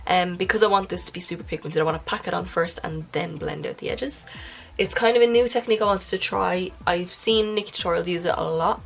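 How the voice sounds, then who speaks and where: A young woman, nasal and congested, chatting casually through a makeup tutorial, now and then dropping into a soft whisper. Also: lowish voice, brisk, gravelly. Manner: chatty, self-correcting, breaks into soft whispered asides. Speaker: makeup vlogger. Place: indoors at home, filming a close-up makeup tutorial.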